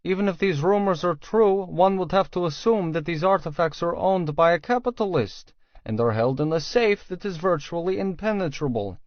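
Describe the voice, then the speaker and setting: Man putting on a higher-pitched, scornful Russian gangster. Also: lively intonation, moderate pace, no echo. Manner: scornful, dismissive. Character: Alexei, grumpy scoffing male Russian gangster. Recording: dry, slightly dull studio sound.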